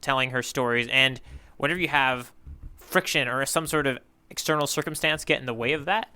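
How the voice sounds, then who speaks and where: Bright, clear-voiced male podcast co-host. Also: medium pitch, fairly quick. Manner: rambling, hesitant with many fillers, laughs easily. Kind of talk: casual chat recapping a manga chapter.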